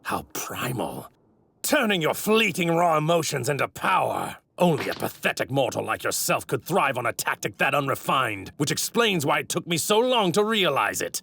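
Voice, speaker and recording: An actor playing an arrogant, wrathful god in a stolen warrior's body, with haughty, theatrical, grandiose delivery in an anime dub. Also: male voice, medium pitch, sing-song, moderate pace, pushed and bright, full character voice. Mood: scornful realization. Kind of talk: anime dub villain acting. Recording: clearly noisy audio ripped from anime.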